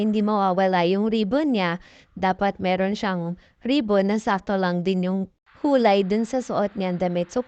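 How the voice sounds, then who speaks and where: A woman's voice: mid-range voice, a little mellow, dull audio. A young Filipino woman with a chatty, informal manner, narrating a casual vlog as a voice-over. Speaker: mom vlogger.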